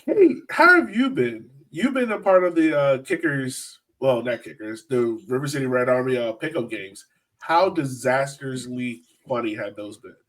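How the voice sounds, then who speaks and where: Animated man hosting a casual podcast. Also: mid-range voice, normal speed, low-quality recording. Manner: joking, exclamatory banter. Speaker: podcast host. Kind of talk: casual group chat.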